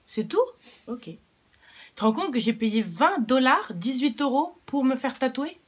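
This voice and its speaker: A woman's voice: high-pitched, animated, unhurried, breathy edge. A young woman talking casually to camera in a vlog. She speaks frankly, with plenty of fillers and the odd swear word. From Cuba. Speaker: vlogger.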